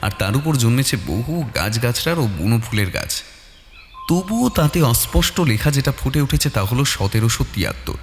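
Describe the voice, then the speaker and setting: A man narrating a detective story in the first person with expressive, dramatic delivery. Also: mid-range voice, normal speed. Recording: long reverb, music bed. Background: soft music.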